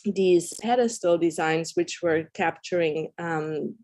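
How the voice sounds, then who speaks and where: Earnest Austrian-born woman presenting, low voice. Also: a little mellow, dry recording. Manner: earnest, with frequent fillers and restarts. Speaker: panelist presenting her design work. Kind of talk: conference panel talk.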